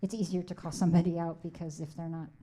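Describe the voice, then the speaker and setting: A woman's voice: fairly low pitch, level tone, fast, dark tone. A woman from the Philippines whose first language is Tagalog, speaking on a conference panel in an earnest, encouraging way with many "like"s.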